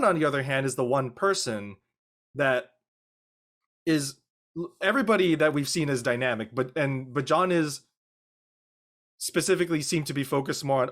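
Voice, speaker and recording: Earnest man with a medium-pitched voice. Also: a bit bright. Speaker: actor, panel guest. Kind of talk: casual panel discussion.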